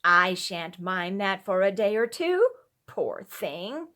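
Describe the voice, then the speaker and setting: A woman voicing a brisk, clipped farmer's wife, outwardly indifferent and secretly tender, in a storybook tale. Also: medium pitch, big pitch swings, sharp tone, full character voice. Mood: softening.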